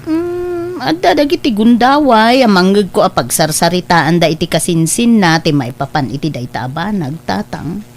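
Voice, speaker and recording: An actress playing a kindly middle-aged aunt in an audio drama, speaking in a caring, encouraging way. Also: female voice, mid-range voice, animated, normal speed, slight background noise, close to an everyday voice. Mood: respectful, concerned. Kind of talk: Ilocano audio drama acting.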